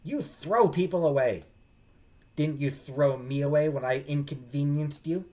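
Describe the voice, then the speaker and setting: A man's voice: a little high, measured pace, voice pushed up, natural voice. A man voicing a furious, accusing ghost of a mother inside a girl's head, for a visual novel read-aloud. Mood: bitter accusing. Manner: accusing, shouting and hissing.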